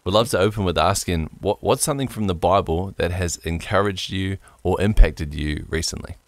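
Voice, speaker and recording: A young man with a very low voice and an accent that blends New Zealand and American speech. He hosts a podcast, relaxed and quick to laugh, and often restarts his sentences. The sound is dry and close, with no room around it. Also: dry recording. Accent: mixed New Zealand and American. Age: young adult. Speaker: podcast host. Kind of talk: casual podcast chat.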